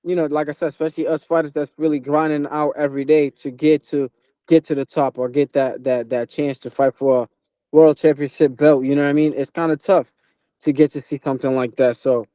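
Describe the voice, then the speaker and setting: A young man from Portugal who moved to the US as a boy, chatting casually as an interview guest, halting and repeating himself with lots of "you know". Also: a little high, level tone, dark tone, no room sound. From Portugal, moved to the US at twelve. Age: young adult. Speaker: professional boxer, interview guest.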